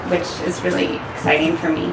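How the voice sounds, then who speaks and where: A woman's voice: on the low side, little pitch movement, a little fast. Woman in the audience asking a question, lots of ums, sounds far from the mic, echoey and noisy. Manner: hesitant, searching for words. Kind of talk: question from the floor. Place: community farm event space. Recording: distant voice, echoey room, loud noise.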